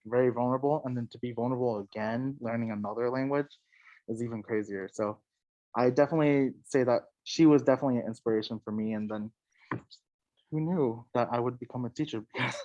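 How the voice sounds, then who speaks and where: A man's voice: medium pitch, fast talker, lo-fi sound. Earnest young male teacher, full of fillers. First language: Vietnamese. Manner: relaxed, filler-heavy, earnest. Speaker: French teacher. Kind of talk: casual panel discussion.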